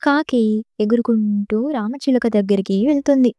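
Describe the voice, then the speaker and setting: A woman's voice: a little high, moderate pace, dark tone, no echo. A woman narrator reading a scripted moral story for children.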